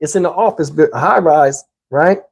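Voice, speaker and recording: A man's voice: fairly high pitch, moderate pace, creaky, husky. A man telling a personal story in a casual, comedic, slangy way, animatedly acting out the dialogue. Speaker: storyteller, channel host.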